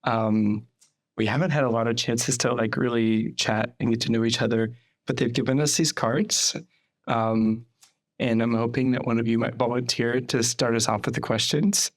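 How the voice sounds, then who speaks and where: A young conservation biologist chatting casually and quickly, full of fillers. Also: male voice, mid-range voice, fairly even intonation. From Australia. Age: young adult. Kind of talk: casual conversation.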